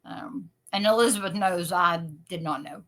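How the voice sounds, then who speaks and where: A woman's voice: fairly low pitch, big pitch swings, moderate pace, creaky, fairly bright tone. A woman with an American accent, chatting casually as a podcast co-host. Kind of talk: casual chat.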